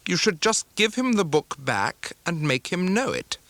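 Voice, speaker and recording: A severe, gloomy spinster from a classic storybook, acted out by a man. The voice is lifted high and swings with disdain. Every phrase is clipped and imperious, cold and disapproving. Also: very animated, measured pace, fairly bright tone, no echo, full character voice. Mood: stern.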